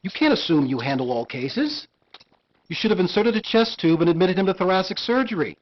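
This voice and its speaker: A man's voice: sits high, very animated, brisk, highs cut off, slight background noise. A man playing a stern senior doctor giving reprimands in a video game. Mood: scolding. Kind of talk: FMV game acting.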